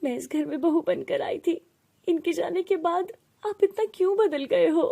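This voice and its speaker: Woman as a high, plaintive widowed mother. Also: moderate pace, gravelly, warm tone. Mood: tearful pleading. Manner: plaintive, pleading. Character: gentle, wronged widowed mother, protective and pleading.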